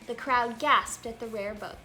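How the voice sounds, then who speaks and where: A woman hosting a children's read-aloud, telling a storybook in an animated, expressive way with emphatic exclamations. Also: on the high side, unhurried. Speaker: read-aloud channel host. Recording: music behind the voice. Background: music.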